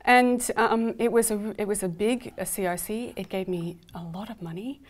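Mid-pitched Canadian woman, enthusiastic panelist. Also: normal speed. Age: middle-aged. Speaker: academic panelist. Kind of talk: conversational panel discussion. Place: indoor studio panel set.

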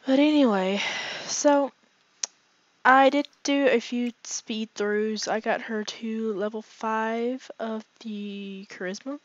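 Brief: young female game host, hoarse and raspy from a cold, rambling filler-laden commentary, slightly muffled home mic. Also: on the high side, steady tone, takes it slowly, no echo. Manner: rambling, filler-heavy, chatty. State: hoarse, voice fading from illness. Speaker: gaming channel host. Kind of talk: casual let's play commentary. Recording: slightly dull lo-fi home recording.

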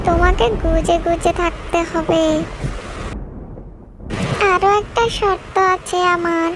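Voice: extremely high pitch, flat delivery, slow. A performer voicing a small, prudent, chiding tailorbird in a children's cartoon story. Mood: scolding. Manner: chiding, admonishing. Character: small prudent tailorbird, scolding but grudgingly helpful neighbour. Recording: clearly noisy background.

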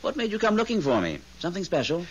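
A man acting in a 1970s radio drama as a seasoned, easygoing spirit among the stars, wry and measured. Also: sits high, huge pitch swings. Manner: wry, measured, deferential to superiors. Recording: dull, hissy old radio recording.

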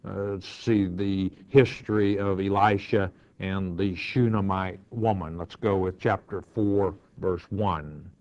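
A man's voice: lowish voice, darkish tone. An older man, a pastor, teaching through the Bible verse by verse, reading scripture aloud and then explaining it in plain words with many fillers. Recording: dull lo-fi broadcast sound.

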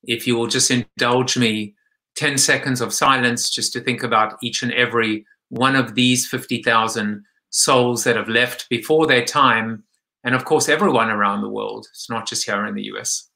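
A man in his forties from South Africa hosts a live show from a room at home, speaking into a webcam. His voice is bright and forward, with pitch in the middle range for a man, and he talks haltingly, restarting often. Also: fairly even intonation, gravelly. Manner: halting, many fillers and restarts. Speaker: host. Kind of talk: live online interview show. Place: home room with lamp and blinds. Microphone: webcam at desk.

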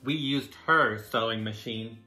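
A man voicing a shy, earnest young boy in a children's storybook, speaking softly and sincerely. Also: medium pitch, unhurried, soft background music. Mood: quietly proud. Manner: earnest, soft-spoken. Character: young boy Jacob, earnest, shy, proud of his dress.

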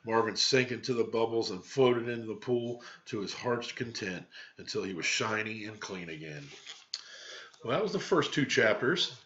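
A man's voice: on the low side, lively intonation, moderate pace, a bit bright, a little hiss or hum. Male teacher, middle-aged, story read-aloud in a plain-spoken way, lo-fi home recording. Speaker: teacher reading to students. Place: small home room with cabinets, indoors. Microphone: webcam microphone at arm's length. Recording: dull webcam microphone sound.

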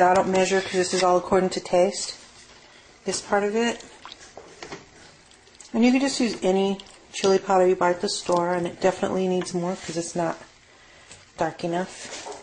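A woman's voice: on the low side, steady tone, moderate pace, long pauses, a little mellow. Informal woman cooking, noisy home recording. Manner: informal, rambling, unscripted. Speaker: home cook. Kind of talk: casual cooking demonstration. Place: home kitchen, indoors. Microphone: handheld camera mic. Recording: noisy amateur camera audio with clicks.

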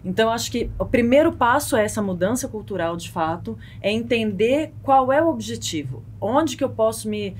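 A Brazilian woman in her thirties talking in a casual panel discussion, explaining things in an animated, expressive way. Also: on the high side, fairly quick, hardly any pauses, a little hiss or hum. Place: small padded podcast studio. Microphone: close boom-arm studio microphone.

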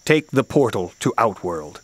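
An actor playing a solemn, commanding thunder god who mentors warriors in a video game, speaking formally and with measured gravity. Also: male voice, on the low side, sing-song, heavy background noise. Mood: grave. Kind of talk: video game cutscene acting. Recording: loud music behind the voice.

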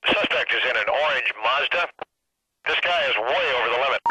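A man's voice: medium pitch, fairly quick, pushed and bright, slight background noise, rough recording. A man playing a police patrol officer in a video game, talking urgent, clipped jargon through a radio filter. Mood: businesslike, alert. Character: urgent male patrol cop chasing speeders.

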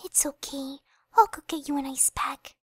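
A woman acting as a sweet young pony girlfriend in a cartoon radio play. The voice is extremely high and gentle, earnest and a little worried. It is recorded dry and close. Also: moderate pace, no echo, natural voice. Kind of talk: fan-made animated radio play acting.

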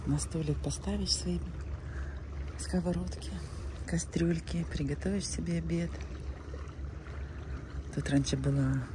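An older woman chatting casually for a vlog, musing in unhurried short phrases, recorded on a handheld phone. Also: low voice, fairly even intonation, background noise. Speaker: travel vlogger. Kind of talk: casual first-person vlog chat. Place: outdoors by the shore, in a car, in a cafe.

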